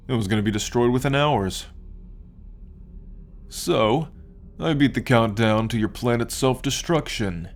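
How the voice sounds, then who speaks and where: A man playing a smug, possessive alien captor in an ASMR roleplay, speaking in a condescending, taunting and menacing drawn-out way. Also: lowish voice, lightly acted. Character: smug, possessive male alien abductor and collector. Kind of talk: ASMR roleplay, slow dramatic monologue.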